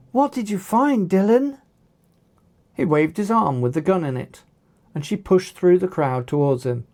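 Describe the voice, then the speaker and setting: Audiobook narrator, dramatic storyteller, mellow tone with some gravel, unhurried delivery. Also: mid-range voice. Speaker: author reading own novel aloud.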